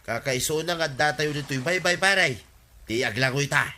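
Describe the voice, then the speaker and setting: A man's voice: fairly high pitch, moderate pace, bright, forceful tone. A male actor playing a folksy village pal in an Ilocano radio comedy drama, chummy and colloquial, with an Ilocano accent. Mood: urging.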